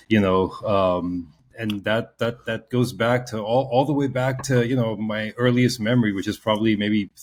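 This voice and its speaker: Male co-host from Pakistan, forties, low voice, reflective and halting in casual storytelling. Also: a little mellow. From Pakistan, moved to the US around age ten. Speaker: podcast co-host telling his story.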